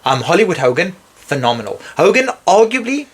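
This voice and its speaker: A young man hosting a laid-back chat, his voice sitting a little high. He talks playfully and chattily, often cutting himself off mid-sentence and starting again. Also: very animated, a little fast, breathy edge. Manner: chatty, playful, self-interrupting. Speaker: host. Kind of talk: casual chat.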